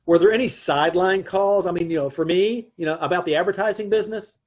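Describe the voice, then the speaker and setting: A man playing a wisecracking advertising man who also narrates, in an old-time radio comedy, deadpan and dry, over a video call. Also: sits high, fast.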